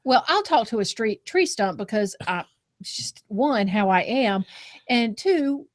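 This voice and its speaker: A woman's voice: fairly high pitch, expressive, measured pace. A woman, co-hosting a podcast and chattily telling casual anecdotes. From North Carolina, US South. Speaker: podcast co-host.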